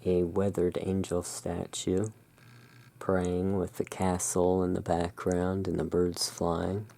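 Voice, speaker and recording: A hushed, soothing and unhurried voice in an ASMR roleplay, playing the owner of a gothic curiosity shop. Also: low-pitched, completely flat delivery, gravelly. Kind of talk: ASMR roleplay, showing tarot cards.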